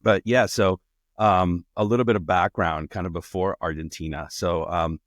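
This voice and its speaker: A man's voice: low-pitched, normal speed, no echo, studio quality. A middle-aged man from Colombia, a podcast guest, talking casually about his son's soccer and often restarting his sentences. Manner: relaxed, rambling with frequent restarts. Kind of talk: casual conversation.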